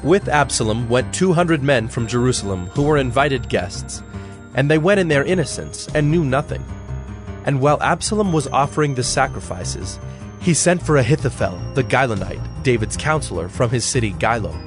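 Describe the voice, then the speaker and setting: A man narrating a dramatized audio Bible in a solemn, measured storytelling manner. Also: mid-range voice, expressive, over music.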